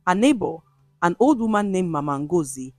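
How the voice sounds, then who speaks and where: Female folktale narrator, dramatic and measured, lower register, close dry recording. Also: sing-song, a little mellow, no echo, soft background music. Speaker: storyteller.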